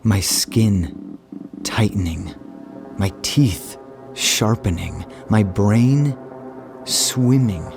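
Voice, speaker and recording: A haunted man in an audio horror monologue, low in pitch. He speaks very slowly and deliberately, in broken, repeated phrases, as if confessing something dark. Faint music plays beneath him. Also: vocal fry, full character voice. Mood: dark, intimate. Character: haunted man confiding a dark, violent secret. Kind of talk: slow, scripted dramatic monologue for an audio horror drama.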